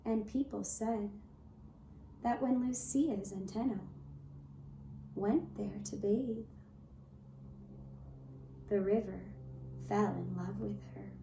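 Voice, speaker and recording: A woman narrating a folk tale as a puppet show storyteller, with measured, deliberate phrasing. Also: medium pitch, fairly even intonation, gravelly, dark tone. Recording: roomy echo, faint background noise.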